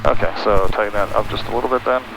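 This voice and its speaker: American guy teaching flying, low creaky voice, patient n casual, noisy cockpit headset. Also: steady tone, fast talker. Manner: patient, step-by-step coaching. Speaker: pilot instructing a student. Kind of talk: casual hands-on flight instruction. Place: small twin-engine plane cockpit in flight. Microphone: aviation headset intercom.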